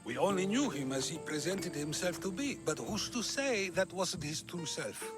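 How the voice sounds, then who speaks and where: An actor playing a thoughtful male philosopher in a video game cutscene, speaking in a measured way. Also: high-pitched, lively intonation, over music. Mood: calm. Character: older male philosopher, wise and questioning. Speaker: game character.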